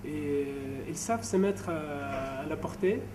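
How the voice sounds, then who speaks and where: A man's voice: a little high, expressive, measured pace. Man, late twenties, Saudi Arabic accent, hesitant testimonial, noisy background. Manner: hesitant, with long phrases broken by fillers. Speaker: language student. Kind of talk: spoken testimonial. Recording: distant mic, clear background noise.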